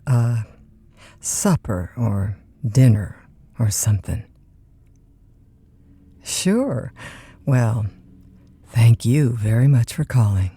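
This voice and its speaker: A woman's voice: rumbling low, warm tone, husky, no echo, heavily acted. A woman playing a chatty, lonesome overnight disc jockey in an audio drama, with a folksy, polite, hesitant conversational manner. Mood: wry, weary. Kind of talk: naturalistic audio drama acting, one side of phone calls.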